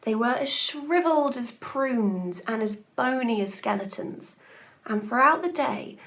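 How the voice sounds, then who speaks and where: A woman reading a children's story aloud expressively, in the manner of a schoolteacher. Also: a little high.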